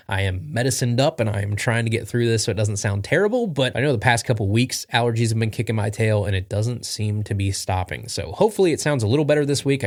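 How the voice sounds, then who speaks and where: A man hosting a podcast in a casual, rambling talk, sounding stuffy from allergies. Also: fairly low pitch. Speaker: podcast host.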